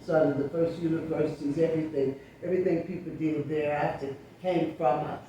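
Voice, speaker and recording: An older woman in her seventies or beyond, speaking as an interview guest in a reflective, emphatic and deliberate storytelling way. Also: low voice, steady tone, dark tone. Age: 70s or older. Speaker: interview guest, sociologist and teacher. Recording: very echoey room, distant mic, faint noise.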